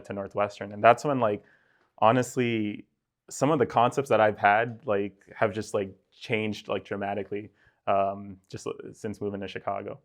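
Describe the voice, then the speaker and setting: A young man from Sudan, a podcast guest talking in a relaxed, rambling way and filling his speech with "like" and "right?". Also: fairly low pitch. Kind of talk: casual conversational interview.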